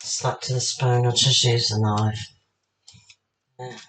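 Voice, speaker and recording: Tired, husky older Englishwoman cooking. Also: a bass voice, little pitch movement, slow pace, fairly bright tone, a little hiss or hum, poor audio. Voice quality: slightly husky. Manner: chatty, rambling, talks to herself while working. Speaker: home cook. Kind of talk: casual cooking demonstration.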